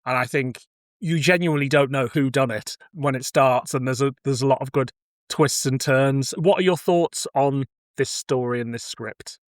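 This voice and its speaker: A man with an average-pitched voice chats as a podcast co-host reviewing audio drama. His delivery is informal and blunt, at ease with swearing. The sound is dry, free of room echo. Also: normal speed. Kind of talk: casual review discussion.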